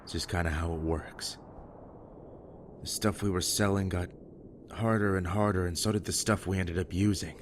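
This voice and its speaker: A man acting in an audio drama as a bitter, wounded, foul-mouthed survivor with a halting, raw delivery. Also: low-pitched, fairly even intonation, a little hiss or hum, close to an everyday voice. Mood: halting, pained.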